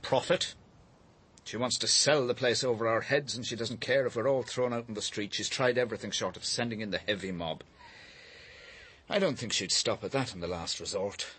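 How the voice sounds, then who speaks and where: Bitter, very deep, husky man. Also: moderate pace, a bit bright. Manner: blunt, surly, resentful. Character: bitter, proud, aggrieved widowed painter, a father. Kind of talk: radio drama acting.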